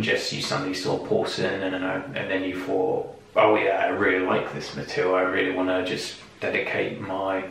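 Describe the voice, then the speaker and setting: Male interviewer, informal and hesitant, unhurried with gravelly fry, recorded at a distance in a very reverberant room. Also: low voice, fairly bright tone. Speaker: interviewer and video host. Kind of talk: casual interview. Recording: echoey room, distant mic, faint noise.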